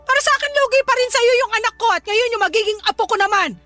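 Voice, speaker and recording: A woman playing a sharp-tongued, sarcastic older mother in a Filipino radio drama, scolding her daughter's boyfriend with a Filipino accent. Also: extremely high pitch, fast. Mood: angry scolding. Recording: music bed under the voice.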